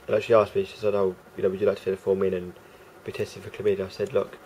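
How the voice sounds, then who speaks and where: A man with a croaky voice talking casually to camera as a vlogger, rambling and hesitant with many fillers and restarts. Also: low voice, completely flat delivery, fast, mellow, slight background noise, poor audio.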